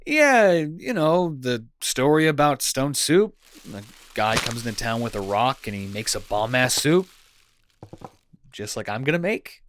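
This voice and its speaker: A man's voice: medium pitch, sing-song, unhurried, a bit bright, slight background noise. A man playing a caring, teasing young boyfriend in an intimate comfort roleplay audio, talking in a casual, playfully bantering way.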